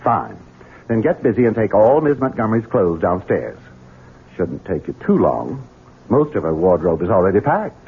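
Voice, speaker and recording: A sly Southern gentleman in an old radio mystery. His voice is low and very husky, swinging between sugary courtesy and menace, through a tinny, muffled broadcast sound. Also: very animated, moderate pace, heavily acted. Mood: curt, scheming. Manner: mock-courteous, domineering. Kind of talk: 1970s radio mystery acting.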